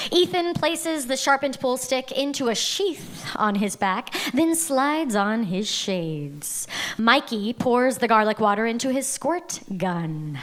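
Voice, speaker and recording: A woman reading out the stage directions and scene headings at a live script table read, in a steady matter-of-fact way. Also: on the high side.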